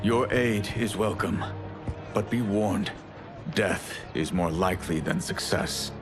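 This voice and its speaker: An actor playing a grave, weary deposed ruler turned rebel in a video game, speaking in a solemn, formal manner. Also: male voice, low-pitched, slow pace. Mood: grave warning. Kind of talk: video game drama acting. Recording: loud music behind the voice. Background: loud cinematic music.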